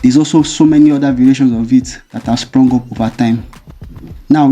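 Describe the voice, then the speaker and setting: A man hosting a commentary channel, reading a measured, explanatory script. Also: mid-range voice, warm tone. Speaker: video essay host.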